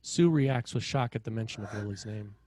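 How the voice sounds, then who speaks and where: Guy narrating a movie script, scene headings and action lines, lowish voice. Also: a little fast, crowd noise. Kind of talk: scripted narration of screenplay stage directions.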